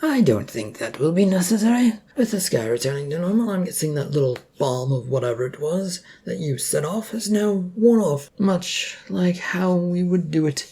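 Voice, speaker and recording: An arrogant villainous male superhero from an audiobook adventure, acted out by a narrator. He is menacing and contemptuous, with a gravelly, husky snarl. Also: mid-range voice, lively intonation, moderate pace, hardly any pauses, warm tone, pitched above the performer's own voice. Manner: threatening, contemptuous.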